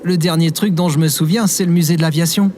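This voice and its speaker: A man's voice: fairly high pitch, steady tone, quick pace, natural voice. Man acting as naive everyman, hesitant, wondering, good-natured, audio drama, noisy background. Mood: bewildered.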